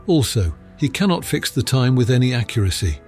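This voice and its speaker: A man acts out a confident audiobook police inspector in a middle-pitched voice. He is blunt and matter-of-fact, with everyday colloquial phrasing. Light music runs underneath. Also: big pitch swings, fairly quick, darkish tone, natural voice. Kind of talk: acted audiobook dialogue.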